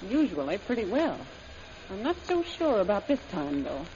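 Woman playing sardonic slangy adventuress in old radio serial, lively pitch, muffled sound w/ a bit of hiss. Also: moderate pace, lightly acted. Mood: wary. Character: wisecracking, wary female adventuress and secret agent. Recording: muffled old radio recording, faint noise.

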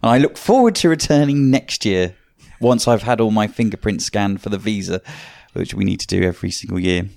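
A man talks casually as a podcast guest, tripping over restarts. His voice is strongly gravelly and recorded dry. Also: fairly low pitch, fairly quick, a little mellow, dry recording. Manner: stammering restarts, wry.

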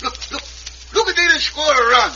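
A roly-poly Mexican cowboy sidekick with a simple, jolly heart. His high, very bright voice bounces around in broken English. It comes through a dull old Western radio recording. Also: animated, fairly quick, a little hiss or hum, exaggerated voice. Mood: playful. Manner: broken English, refers to himself by name. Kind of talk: 1950s Western radio acting.

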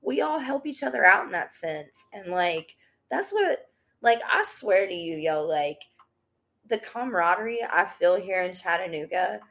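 A woman's voice: mid-range voice, unhurried. A woman, a thrift and sewing guest talking casually with lots of "like" and "yo.". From Tennessee. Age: 30s. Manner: slangy, animated, peppered with "like" and "yo". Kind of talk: casual podcast conversation.

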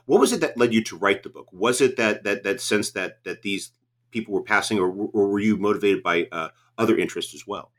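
Male podcast host for book interviews, lowish voice, conversational questioning. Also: fairly bright tone.

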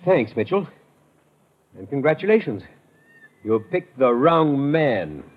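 A man's voice: medium pitch, sing-song, takes it slowly. An actor playing a smug, menacing male villain in a 1950s radio adventure, gloating with mock politeness. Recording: noisy, dull old radio recording.

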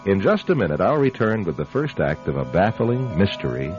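A man hosting a radio mystery with a measured, suspenseful scripted introduction. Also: sits low, sing-song. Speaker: announcer and host. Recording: dull radio recording with music.

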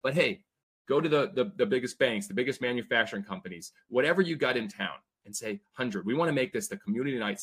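A man talking as an interview guest, telling stories with energy and growing excited. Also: medium pitch, slightly rough. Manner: energetic, animated storytelling. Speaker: guest, sports team owner. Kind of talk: casual interview talk.

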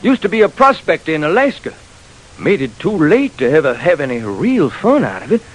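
An actor playing a folksy, gossipy local man with drawn-out, colloquial talk in an old-time radio drama. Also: a little high, very animated, rough recording.

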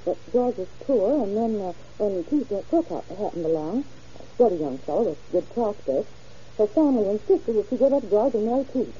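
A woman's voice: mid-range voice, big pitch swings, fairly quick, dark tone, lightly acted. A woman playing a chatty neighbor witness in an old-time radio drama. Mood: earnest, gossipy. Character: chatty female neighbor witness, eager to gossip. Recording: dull muffled old radio recording, noisy.

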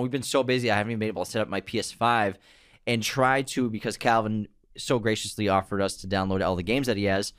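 A man in casual podcast chat, rattling off upcoming film projects in a relaxed, mid-pitched voice. He talks easily and corrects his slips with a laugh. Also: no room sound, studio quality. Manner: lists upcoming projects, self-correcting, playful. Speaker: podcast co-host. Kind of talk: casual movie news chat.

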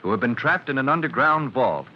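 A man's voice: medium pitch, animated, brisk. A man narrating a vintage radio drama as announcer, in a dramatic, declamatory style. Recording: muffled, noisy old radio recording.

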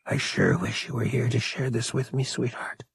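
A man voicing an earnest young space pilot cadet in an audiobook, speaking in a hushed, heartfelt way. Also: medium pitch, normal speed, vocal fry, breathy edge, no echo, exaggerated voice. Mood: wistful.

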